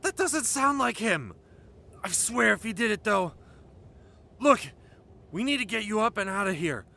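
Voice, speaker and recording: A man's voice: high-pitched, expressive, unhurried, gravelly, bright, forceful tone, exaggerated voice. A man playing a protective, exasperated teenage boy in an amateur script read, with urgent, emphatic delivery. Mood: firm, urgent.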